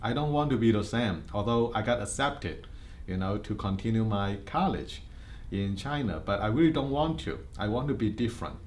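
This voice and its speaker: A man's voice: lowish voice, animated, normal speed, darkish tone, slight background noise. Hong Kong-accented man telling his story. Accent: Hong Kong Cantonese. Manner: earnest, many fillers and restarts. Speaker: insurance agency head. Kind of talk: interview life story.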